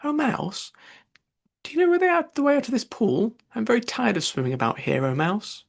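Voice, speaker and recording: A man voicing a polite, chatty little girl in a storybook, earnest and quick to apologise. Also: very high-pitched, very animated, creaky, voice pushed up, heavily acted. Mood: polite, hopeful.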